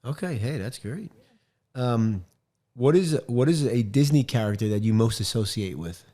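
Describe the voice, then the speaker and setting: Middle-aged male podcast host, upbeat and joking, quick to chime in, close studio mic, home studio. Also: mid-range voice, animated, mellow. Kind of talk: casual interview podcast. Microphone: studio mic on boom arm, headphones.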